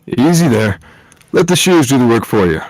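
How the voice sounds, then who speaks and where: A man's voice: medium pitch, big pitch swings, normal speed, a little mellow, no echo, natural voice, rough recording. A man playing a plain-spoken shepherd father, firm but patient, in a table read of a film script. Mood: patient, encouraging.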